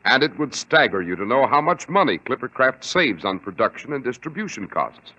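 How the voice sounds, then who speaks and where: A man with an American accent reading as a polished, emphatic 1940s radio announcer and sponsor pitchman. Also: very animated, a little fast, sharp tone. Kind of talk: 1940s radio advertising read. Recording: muffled vintage radio recording.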